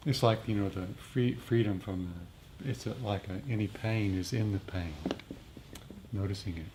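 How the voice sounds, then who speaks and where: A man with a fairly low voice explains meditation practice to a group without a script. He pauses and searches for words, with faint room noise behind him. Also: steady tone, dark tone. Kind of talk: unscripted explanatory group discussion.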